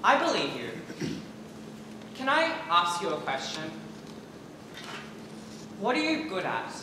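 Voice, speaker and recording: A young man on stage playing an earnest, encouraging male drama teacher in a high school play, recorded from the audience. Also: wildly swooping pitch, takes it slowly, exaggerated voice. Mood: reassuring. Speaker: high school student actor. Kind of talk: amateur stage play acting. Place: theater stage in large auditorium. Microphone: far camera in audience. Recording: echoey auditorium, distant camera, loud room noise.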